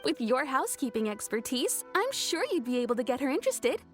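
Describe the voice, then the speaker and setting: An actress playing a friendly, polite fabric shop owner in a video game, eager to help. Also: female voice, sits high, big pitch swings, a little fast, faint music behind. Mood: helpful, pleased. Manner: polite, helpful. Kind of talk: scripted video game voice acting.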